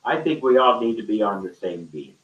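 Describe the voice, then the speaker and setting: Low-voiced man in an echoey room. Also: animated, brisk. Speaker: teacher and panel speaker. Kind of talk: unscripted online discussion. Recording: dull, echoey, distant-microphone call audio.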